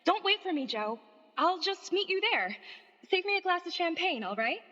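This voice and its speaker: An actress playing a sweet, breezy young ingenue of the stage in a radio play. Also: female voice, very high-pitched, big pitch swings, normal speed, pushed and bright, exaggerated voice. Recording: reverberant hall, dull band-limited sound.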